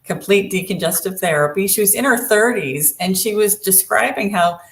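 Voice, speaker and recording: A woman therapist co-hosting an online panel, talking in a measured, steady way. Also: medium pitch. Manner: measured, steady, with frequent affirming murmurs. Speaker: lymphedema therapist and co-host. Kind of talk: casual online panel chat.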